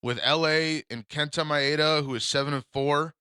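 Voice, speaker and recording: A man with a low yet bright, forceful voice gives sports picks on a casual podcast. He sounds confident and trades jokes with his co-host. The recording is dry and close. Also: steady tone, normal speed, clean tone, dry recording. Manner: confident, joking, banter.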